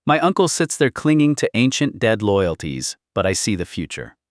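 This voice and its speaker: A man's voice: fairly low pitch, big pitch swings, moderate pace, no echo, clean studio sound. A man voicing a bitter, contemptuous young nobleman in an audiobook, scornful and intense. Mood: burning contempt.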